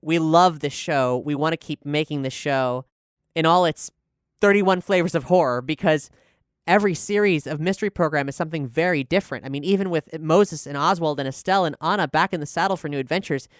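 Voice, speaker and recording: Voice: mid-range voice, fairly quick, clean tone, dry recording, studio quality. A podcast showrunner and host talking casually with guests, then reading out an earnest promotional announcement to listeners.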